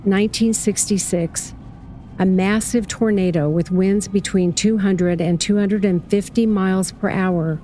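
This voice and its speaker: A woman reading a scripted first-person story as narrator, in a measured, reflective way. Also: lowish voice, mellow, a little hiss or hum.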